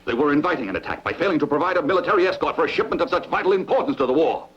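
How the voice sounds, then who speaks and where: A man's voice: fairly high pitch, animated, rapid-fire, husky. A man playing a commanding, suspicious Roman general with a formal, authoritative manner in a dubbed epic film. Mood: indignant. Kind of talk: dubbed sword-and-sandal film dialogue. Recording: muffled lo-fi film audio, faint noise.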